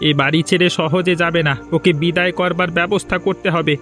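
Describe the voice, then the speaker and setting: A man's voice: high voice, little pitch movement, a little fast, fairly bright tone. A man playing a scheming, menacing bandit and kidnapper in a Bengali cartoon. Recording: music bed under the voice. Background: background music.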